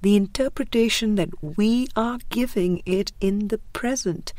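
A woman reading a spiritual book aloud as scripted narration, in a measured, deliberate way with pauses. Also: mid-range voice, a little mellow, no echo. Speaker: audiobook reader.

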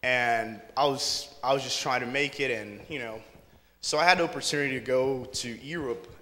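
A young man in his twenties from Brazil, telling his faith story as a guest in an earnest, relaxed way. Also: medium pitch, moderate pace. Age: 20s. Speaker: interview guest. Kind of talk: casual testimony on stage. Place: church stage before an audience. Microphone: handheld mic. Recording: church hall reverb.

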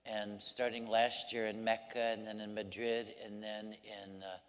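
Male panelist, lowish voice, measured and hesitant, speaking in a reverberant hall. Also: little pitch movement. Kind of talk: unscripted concluding remarks.

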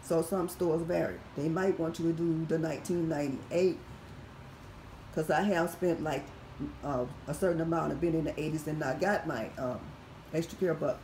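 Raspy midwestern woman, fifties, methodical haul vlogger; echoey room, faint noise. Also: low voice, fairly even intonation, normal speed, darkish tone. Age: 50s. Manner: methodical, reads prices off receipts. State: recovering from surgery. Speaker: couponing vlogger. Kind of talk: casual product haul walkthrough. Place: indoor room at home. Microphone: phone camera held over items.

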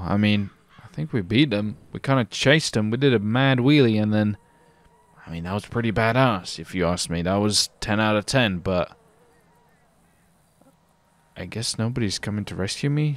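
A man with a gravelly voice chatting casually and slangily while playing a roleplay game. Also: medium pitch, no echo. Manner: slangy, says dude and baby often. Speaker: gaming video host, roleplay player. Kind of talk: casual gameplay roleplay chat. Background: car game sounds.

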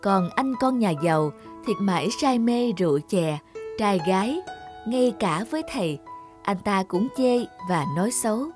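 A woman's voice: mid-range voice, expressive, normal speed. A woman telling a scripted children's fairy tale as a storyteller, with music playing behind her.

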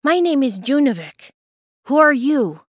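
Woman as young boy, storybook hero, raised pitch, earnest, courteous, eager, dry recording. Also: big pitch swings, a little slow, no echo. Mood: friendly, confident. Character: brave, polite, eager young boy explorer. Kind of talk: children's storybook character voice.

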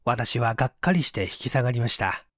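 A man narrating a mystery story as an audiobook reader, in an expressive, measured storytelling manner on a close microphone. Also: medium pitch, no room sound. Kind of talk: scripted literary narration, mystery story reading.

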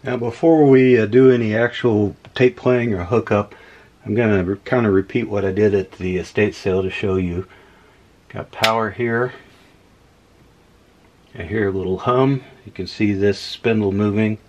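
A man casually demonstrating old equipment at a workbench, unhurried and thinking aloud with many fillers. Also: on the low side, steady tone, darkish tone, highs cut off, slight background noise. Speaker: electronics hobbyist host. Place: workbench room indoors.

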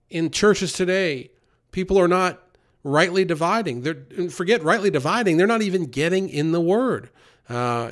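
An earnest man delivers a loose, unscripted sermon. His voice sits a little high for a man, and he often repeats words and restarts his sentences. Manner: earnest, repeats himself with many fillers and restarts. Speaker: preacher. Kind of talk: informal sermon.

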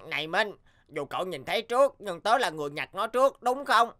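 A smug, selfish big schoolboy from a cartoon, acted by a woman. The voice is cocky and taunting, at a middling pitch. Music is clearly heard behind it. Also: expressive, brisk, a bit bright, close to an everyday voice. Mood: scheming. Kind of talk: animated cartoon dialogue. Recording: background music under dialogue.

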